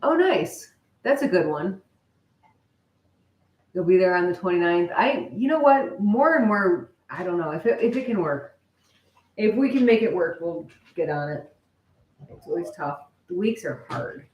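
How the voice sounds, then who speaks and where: Woman co-hosting a livestream, lowish voice, just chatting, roomy echo. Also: expressive, unhurried, long pauses, muffled recording. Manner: chatty, informal. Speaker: live stream co-host. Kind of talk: casual chat with live viewers. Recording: echoey room.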